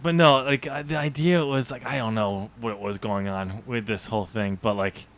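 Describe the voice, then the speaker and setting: A man co-hosting a podcast in casual unscripted chat, talking haltingly and often correcting himself. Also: medium pitch, fairly even intonation, a bit bright. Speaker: podcast co-host, author discussing own novel draft.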